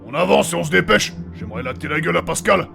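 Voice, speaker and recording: A man acting as a hot-tempered fantasy hero with an extremely gravelly, fairly high male voice. He grumbles, swears and blusters, played for comedy in an audio fiction. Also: animated, fast talker, sharp tone, soft background music, exaggerated voice. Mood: impatient, threatening. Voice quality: gravelly, creaky. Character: gruff, foul-mouthed, hot-tempered male fantasy adventurer.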